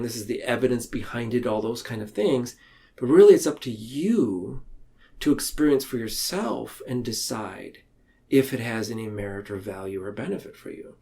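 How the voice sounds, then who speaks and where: A man speaking as a podcast guest in a reflective, conversational way, earnest and halting, tending to ramble. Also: mid-range voice, darkish tone. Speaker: podcast guest, mental health counselor. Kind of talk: reflective conversational interview talk.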